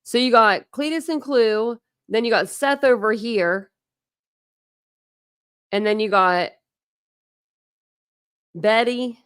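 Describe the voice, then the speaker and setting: A woman's voice: fairly high pitch, smooth, no echo, studio quality. An American woman hosting a true crime livestream, chatting casually in slangy, mildly cursing speech. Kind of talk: casual live chat.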